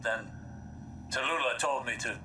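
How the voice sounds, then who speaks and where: A man plays a stubborn, reserved lover in a video game cutscene. The words come slowly and dryly, with a self-deprecating halt. The sound is roomy and distant, with faint noise. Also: medium pitch, close to an everyday voice. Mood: sheepish, confessing.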